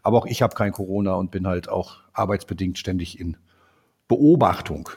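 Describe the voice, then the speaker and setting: A male podcast host with a husky, slightly hoarse voice and a blocked nose from a cold. He talks casually, with plenty of filler sounds, and steers a relaxed interview. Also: low voice, normal speed. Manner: chatty, lots of filler sounds.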